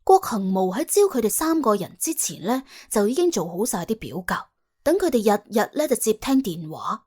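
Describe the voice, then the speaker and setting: A woman's voice: on the high side, big pitch swings, normal speed, slightly rough. A woman with a Cantonese accent narrating an audiobook as dramatic, wry storytelling. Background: soft background music.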